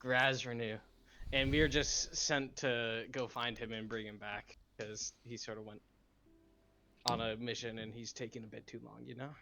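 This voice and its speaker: A man speaks as an easygoing adventurer in a tabletop game, talking his way past strangers with a casual bluff. His voice is bright and sits at a middle pitch. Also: flat delivery, moderate pace, creaky, slight background noise. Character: smooth-talking adventurer bluffing through introductions.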